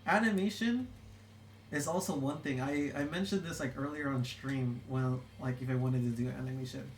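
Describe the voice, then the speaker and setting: A young adult streamer talks loosely while drawing, wandering through thoughts with constant "like"s and restarts. The voice sits at an ordinary middle pitch. The room echoes noticeably, with a faint hum of ambience underneath. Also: normal speed. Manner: rambling, full of "like" and restarts. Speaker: streamer reading chat. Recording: roomy room echo.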